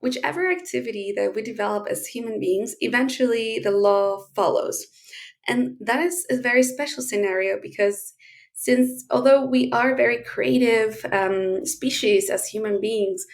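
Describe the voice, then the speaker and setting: A woman's voice: medium pitch, creaky. A woman from Chile, a podcast guest explaining her field in a friendly way, with plenty of fillers. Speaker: guest, space lawyer. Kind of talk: conversational podcast interview.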